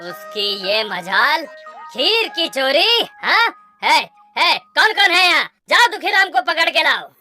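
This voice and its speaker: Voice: high voice, sing-song, a little slow, bright, forceful tone, heavily acted. A performer voicing a pompous king in a cartoon story, theatrical and questioning. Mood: commanding. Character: village son, pompous king and scheming minister. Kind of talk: exaggerated Hindi cartoon story acting. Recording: music and jingles behind the voice.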